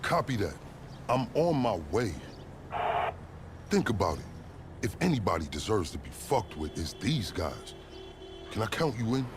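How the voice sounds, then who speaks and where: A man's voice: fairly low pitch, sing-song, unhurried, long pauses, background noise. An actor playing a sly, eloquent corrupt police officer in a video game cutscene, smug and formal in his phrasing, with profanity. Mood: scheming, wry.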